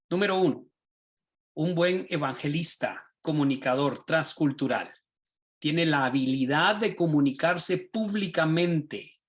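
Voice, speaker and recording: A man, a pastor and teacher, teaching a class over a video call in a lively, sermon-like way, often asking his listeners rhetorical questions. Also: fairly high pitch. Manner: animated, rhetorical questions, asks the class directly.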